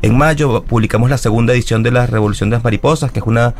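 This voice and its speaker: A guest from Venezuela, speaking earnestly in long explanatory runs with small restarts during a radio interview. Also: male voice, medium pitch, fast, slight background noise. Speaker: guest, academic secretary of a school. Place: radio studio.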